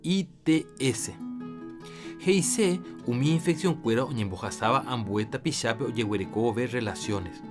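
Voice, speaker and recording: A Paraguayan man presents scripted health information on the radio. His voice is mid-pitched and didactic, with prominent guitar music underneath. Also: normal speed. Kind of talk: scripted educational narration in Jopara.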